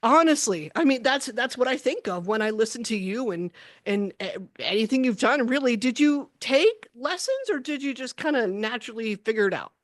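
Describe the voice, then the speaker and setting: A woman's voice: a little high, big pitch swings, normal speed, no room sound, clean studio sound. A woman hosting an interview podcast, asking rambling questions with enthusiasm and frequent laughter. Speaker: podcast host. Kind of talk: casual interview chat.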